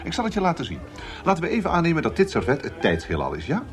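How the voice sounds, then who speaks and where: A man's voice: on the high side, huge pitch swings, very fast, soft background music. A man playing a breezy space traveller in a science-fiction radio comedy, casual, rambling and self-assured as he explains. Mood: breezy, explaining. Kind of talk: conversational radio play acting.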